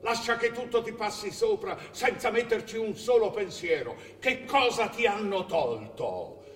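In a distant, reverberant hall, the ghost of a dead king. The voice sits high for a male and moves slowly, declaiming with solemn, fatherly pleading in a stage-drama style. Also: animated, a bit bright. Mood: solemn, imploring. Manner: slow, emphatic, declamatory. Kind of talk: theatrical stage acting. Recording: distant echoey hall, faint noise.

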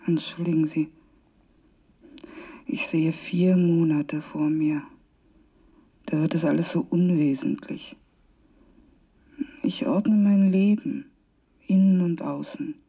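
A resigned, withdrawn dying woman in a radio drama. She speaks very slowly in a husky, breathy voice, with a slightly dull recorded sound. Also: low-pitched, steady tone, heavily acted.

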